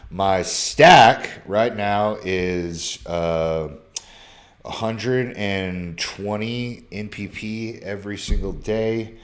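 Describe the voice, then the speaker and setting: A man's voice: lowish voice, extremely slow. A man in his thirties who swears freely and talks in loose slang, reading fan messages aloud on a casual live stream from his phone. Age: 30s. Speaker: social media creator.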